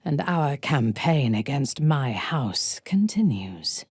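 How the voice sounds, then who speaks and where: A woman playing a cold, ruthless female dark elf warrior in a video game, speaking in a husky voice with slow, haughty deliberation. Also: rumbling low, very animated, no echo, studio quality, full character voice. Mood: cool, haughty.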